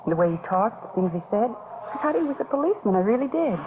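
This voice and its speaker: An American actress playing a worried beauty shop owner in a 1950s radio drama, confessing and pleading anxiously. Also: female voice, a little high, very animated, fast. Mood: uneasy, confessing. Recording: scratchy, phone-like 1950s radio recording, noisy.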